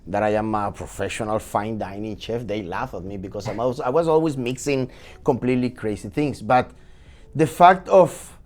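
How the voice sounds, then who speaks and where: A man in his forties from Venezuela with a Venezuelan Spanish accent, a chef telling his story as a podcast guest in a lively, energetic way. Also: moderate pace. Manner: animated, energetic storytelling with swings in pitch. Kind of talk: casual podcast interview.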